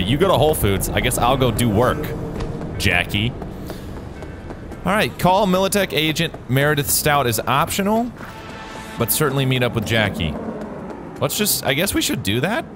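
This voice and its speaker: A man's voice: mid-range voice, big pitch swings, normal speed. A man giving casual, rambling commentary on a game he plays, bemused and joking. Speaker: gamer commenting on his playthrough. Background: game music and street sounds.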